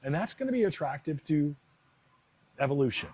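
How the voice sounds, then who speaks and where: A man's voice: on the high side, sing-song, brisk, a little mellow, slightly rough. A man with an American accent, an announcer by trade, answering interview questions conversationally. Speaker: stadium announcer being interviewed.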